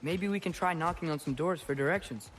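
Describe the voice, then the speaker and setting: A man's voice: a little high, fairly quick, a bit bright, slight background noise, close to an everyday voice. An actor playing a polite, eager teenage boy adventurer in a video game, with an earnest, youthful delivery. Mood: polite, unsure.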